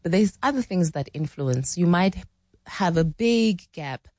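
A woman's voice: on the low side, expressive, unhurried, a little mellow, no room sound, studio quality. A woman in her forties from South Africa, explaining relationship ideas in conversational talk, thinking aloud with frequent restarts. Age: 40s. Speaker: relationship expert guest.

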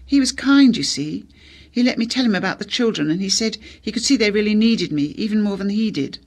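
A woman's voice: medium pitch, animated, a little fast, husky, voice pushed up. A woman voicing a brave, upset teenage girl who speaks earnestly, as a character in an audiobook. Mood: wistful. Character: brave teenage daughter, upset but holding herself together.

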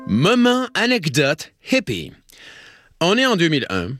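A man in his forties with an Acadian French accent, hosting a radio show and telling a wry, playful anecdote with dramatic pauses. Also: on the high side, huge pitch swings, loud music behind the voice. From Acadian New Brunswick, Canada. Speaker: radio host. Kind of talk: radio storytelling.

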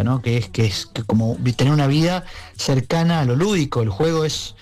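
Reflective Argentine man, slightly low voice. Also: a little mellow. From interior of Argentina. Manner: reflective, halting, often seeking agreement. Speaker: guest, children's musician. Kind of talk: conversational interview.

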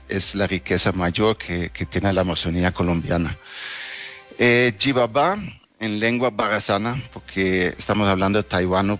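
A middle-aged man from the Netherlands, a native Dutch speaker, answering interview questions conversationally with halting, hesitant phrasing. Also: fairly low pitch, a bit bright. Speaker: interview guest, travel agency owner.

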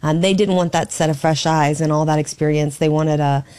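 A hoarse woman with a low, husky voice hosts a talk radio show from her home studio. She chats through the news in an opinionated, thinking-aloud way. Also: fairly even intonation, a little fast, dark tone. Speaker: fill-in radio talk host. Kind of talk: casual talk radio commentary.

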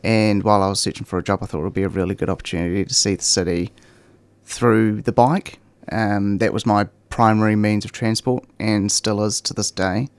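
A young man with a New Zealand accent, chatting as a guest on a talk show with a relaxed manner and lots of ums. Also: on the low side, fairly quick, smooth, no room sound. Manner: relaxed, many ums and fillers. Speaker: guest, cycling content creator. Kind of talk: casual interview chat.

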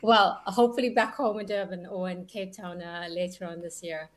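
A woman's voice: lowish voice, steady tone, moderate pace. A woman in her forties, a radio guest, speaking thoughtfully with many ums and restarts. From South Africa. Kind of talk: radio interview.

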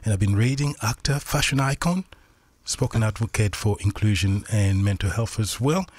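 A man's voice: lowish voice, moderate pace, a little mellow, husky, dry recording. Man hosting a radio interview. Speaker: radio host. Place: radio studio. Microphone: studio microphone.

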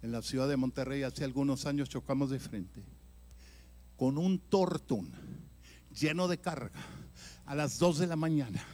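An older man, an evangelist, telling his testimony in a sermon with dramatic storytelling that swings between loud and quiet. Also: medium pitch, unhurried, long pauses. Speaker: evangelist preacher.

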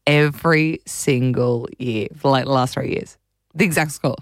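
A woman with a raspy voice and an Australian accent, co-hosting breakfast radio with casual, animated chat about sport. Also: very deep voice, moderate pace, dry recording, clean studio sound.

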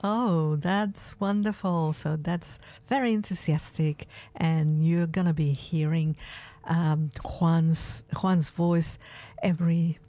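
A woman hosting a community radio show, speaking in a relaxed, unhurried way with occasional fillers. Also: sits low, no room sound. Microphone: studio microphone.

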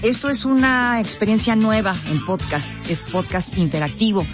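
A woman with a Mexican accent hosting a radio news show, lively and chatty with her reporter. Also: medium pitch, normal speed, muffled recording, music in the background.

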